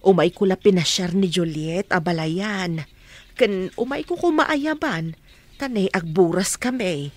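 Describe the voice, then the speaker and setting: A woman acting a kindly middle-aged mother in a radio drama, speaking in a gentle, homely way. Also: fairly low pitch, animated, unhurried, dry recording. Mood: polite, pleading. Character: kindly, devoted mother visiting her married daughter.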